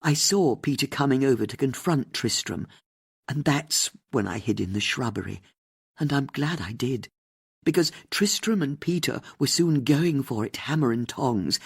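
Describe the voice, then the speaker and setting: A narrator playing a haughty, animated older English lady. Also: medium pitch, moderate pace, gravelly, husky, heavily acted. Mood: indignant, disdainful. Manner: opinionated, brazen, disdainful. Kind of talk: audiobook character dialogue.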